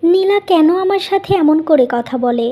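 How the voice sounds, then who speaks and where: A woman voicing a kind, patient elder sister in a cartoon, gentle and solicitous. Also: extremely high pitch, lively intonation, moderate pace, over music. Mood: worried, caring.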